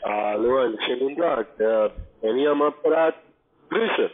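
A man's voice: medium pitch, normal speed. A man speaking as a cold caller, introducing himself in a phone call. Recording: tinny phone line.

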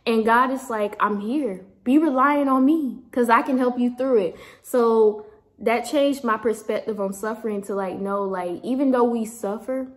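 A young woman vlogging at home, reading a devotional aloud and chatting casually into a phone held close. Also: medium pitch. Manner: chatty, upbeat, warm-hearted sign-offs. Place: indoors at home, small room. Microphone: phone camera held close.

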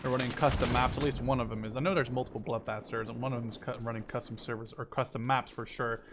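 A man's voice: medium pitch, steady tone, fast, background noise. A man giving casual, rambling gameplay commentary, informal and given to mild swearing. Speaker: gamer and video host.

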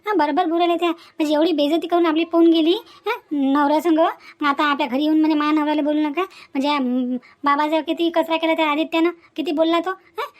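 Woman voicing a shrill-high, spiteful young daughter-in-law. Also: steady tone, fast, hardly any pauses, close to an everyday voice. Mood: scornful. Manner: scolding, repetitive, rhetorical questions. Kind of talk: Marathi family cartoon dialogue.

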